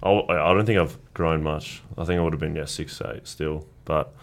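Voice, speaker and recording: A young Australian man whose first language is Croatian, telling stories in a relaxed, rambling way as a guest in a casual chat. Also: very deep voice, moderate pace, creaky. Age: young adult. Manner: relaxed, rambling storytelling with restarts. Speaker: guest athlete.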